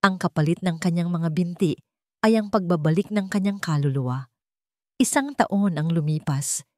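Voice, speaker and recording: A woman narrates a scripted, dramatic story in a fairly low voice. The recording is dry and close, with almost no room sound. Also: moderate pace, no echo.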